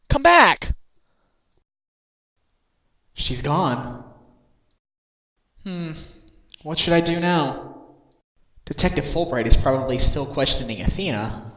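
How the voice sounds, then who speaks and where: A man's voice: fairly high pitch, fairly bright tone, roomy sound. A man voicing an earnest, slightly hapless defence lawyer in a game fandub, wry and musing. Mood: alarmed. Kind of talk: video game fandub acting with inner monologue.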